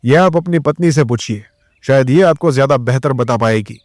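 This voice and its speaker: Male police inspector played by a man, mid-pitched, formal, probing and authoritative, closely recorded audio drama. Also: normal speed, a little mellow, no room sound, studio quality, close to an everyday voice. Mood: stern, probing.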